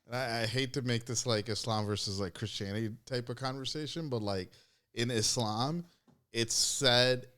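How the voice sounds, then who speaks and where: A man's voice: medium pitch, moderate pace, sharp tone. A young man, a podcast guest whose first language is not English, talking loosely and reflectively in slangy casual chat.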